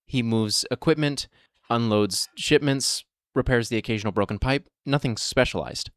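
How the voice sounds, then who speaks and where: An actor playing a practical vault security officer who speaks in a calm, matter-of-fact way. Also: male voice, medium pitch, normal speed, smooth, no room sound, clean studio sound, close to an everyday voice. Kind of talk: audio drama acting.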